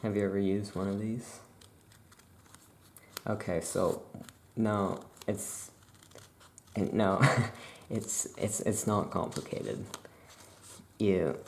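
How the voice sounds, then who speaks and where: A soft-spoken ASMR performer role-playing a laid-back witch shopkeeper, musing casually and hesitantly to a customer. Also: sits low, steady tone, slow, pauses often, slight background noise.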